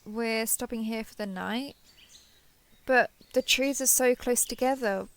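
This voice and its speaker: Woman acting as young female alien, high clear-pitched voice with little creak, naive, earnest, clingy, intimate audio roleplay. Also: a little slow. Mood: naive, defensive. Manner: earnest, wheedling, over-explaining.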